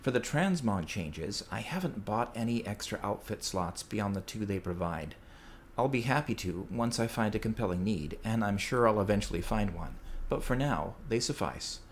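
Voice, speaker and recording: A man giving a prepared, measured report as a contributor to a podcast. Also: on the low side, expressive. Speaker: listener sending in a recorded report. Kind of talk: prepared, scripted-sounding report. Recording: clear background noise.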